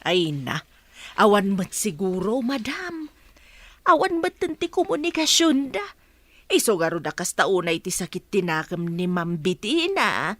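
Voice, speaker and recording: A woman's voice: medium pitch, big pitch swings, measured pace, no echo. A woman playing a chatty, gossipy but deferential household helper in a radio comedy drama. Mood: curious, sympathetic.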